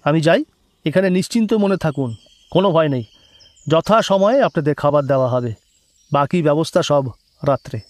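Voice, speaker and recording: A man's voice: a little high, expressive, a little fast, long pauses, lightly acted. A man playing an earnest, secretive foreign government agent in an audio drama, speaking formally and politely with instructions. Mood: assured. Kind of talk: dramatized audio story dialogue.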